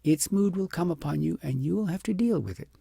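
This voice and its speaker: Man voicing a wise woman, earnest, higher-pitched. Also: expressive, creaky, dark tone, breathy edge, no echo, full character voice. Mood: patient, instructive. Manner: earnest, measured, instructive. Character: wise woman, grave, loving, patient magical teacher. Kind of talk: audiobook character voice.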